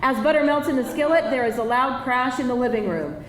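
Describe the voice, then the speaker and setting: A woman's voice: sits high, animated, fairly quick. Woman narrator in a roomy hall. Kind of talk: scripted narration of screenplay stage directions. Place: live event room. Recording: roomy, distant, faintly noisy live reading.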